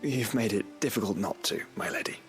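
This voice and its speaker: A man's voice: lowish voice, lively intonation, a little fast, soft background music. A man playing a refined, scholarly and courteous traveller, formal and eloquent, in a dramatic video game cutscene. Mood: courteous, curious.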